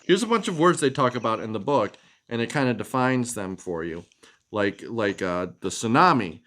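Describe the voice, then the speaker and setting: A man's voice: medium pitch, expressive, moderate pace, fairly bright tone. A man hosting a children's storytime, reading expressively and chatting casually to explain things. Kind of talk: animated picture-book reading and casual chat with kids.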